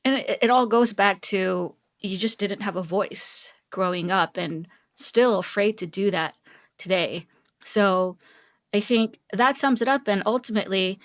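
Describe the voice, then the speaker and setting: A woman's voice: mid-range voice, fairly bright tone. A pregnant woman in her thirties from South Korea, a tech executive talking earnestly and reflectively as a podcast guest, with frequent light laughs. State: heavily pregnant. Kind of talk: podcast interview.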